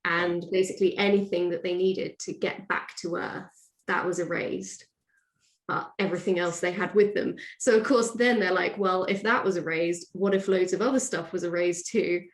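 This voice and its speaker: A woman's voice: fairly low pitch, steady tone, normal speed. A woman, chatting casually as a podcast guest and explaining things. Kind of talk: casual interview chat, explaining. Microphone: own microphone on remote call. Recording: roomy, echoey sound.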